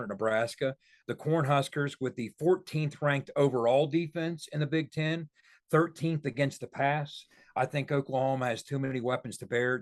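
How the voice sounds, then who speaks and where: A man's voice: medium pitch, dry recording. A man co-hosting a podcast as a football analyst, talking through his points conversationally and emphatically.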